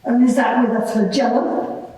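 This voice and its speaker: A woman's voice: a little high, steady tone, moderate pace, warm tone, close to an everyday voice. A woman playing a composed cross-examining lawyer, putting formal, pointed questions in a courtroom. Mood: probing. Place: large reverberant courtroom. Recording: very echoey hall, distant mic.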